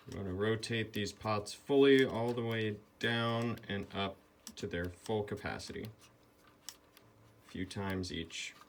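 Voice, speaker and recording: A man giving a hands-on repair tutorial, explaining things step by step. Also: lowish voice. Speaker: repair video host. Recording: clearly noisy background.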